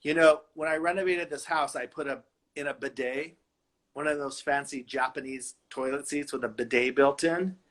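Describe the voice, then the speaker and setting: A man's voice: medium pitch, steady tone, moderate pace, a bit bright. A middle-aged man from Los Angeles, a designer chatting playfully from his home and laughing often. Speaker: fashion designer guest. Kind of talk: casual video-call chat.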